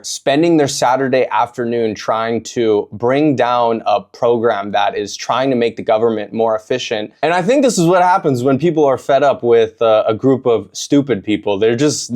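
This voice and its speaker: A man's voice: mid-range voice, darkish tone. Male host narrating over protest footage, casual and opinionated, often restarts his sentences. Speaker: video host reacting to own protest footage. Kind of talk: reaction commentary.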